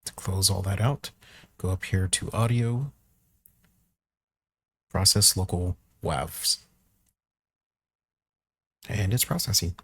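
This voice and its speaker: A man with a low, creaky voice talks through a software tutorial at a slow pace. He is informal and thinks aloud as he works, recorded close on the mic. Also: no echo. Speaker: tutorial presenter. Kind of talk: casual screen-recorded software walkthrough.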